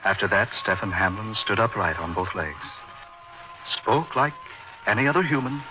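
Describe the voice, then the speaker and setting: An actor playing a haunted, intense man recounting his strange past in an old radio horror drama, with florid theatrical delivery and dramatic pauses. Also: lowish voice, animated, lightly acted. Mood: grave, remembering. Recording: dull old radio recording with music behind. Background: dramatic music.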